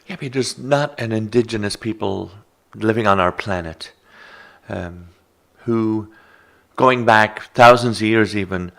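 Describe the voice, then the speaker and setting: An Irish man with a low, gravelly voice talks through mindfulness in a calm, instructive way. He sounds like a meditation teacher guiding a relaxed conversation. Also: unhurried. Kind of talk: reflective conversation.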